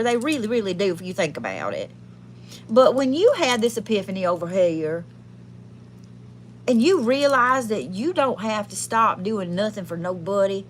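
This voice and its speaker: A woman's voice: medium pitch, slight background noise, natural voice. A woman playing a brassy, foul-mouthed but kindhearted trailer park fortune teller with a Southern US accent, chatting folksily through a live card reading. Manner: folksy, sweary, chatty, calls listeners honey and y'all. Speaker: livestream tarot reader. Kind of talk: casual comic live card reading in persona.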